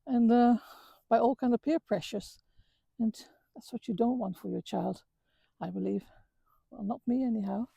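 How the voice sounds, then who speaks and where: A middle-aged woman from the Netherlands with a Dutch accent, talking earnestly about her life with many halting restarts. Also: on the high side, gravelly, dark tone, slightly rough, no echo, low-quality recording. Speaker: vlogger. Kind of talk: reflective personal talk. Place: outdoors on a walk.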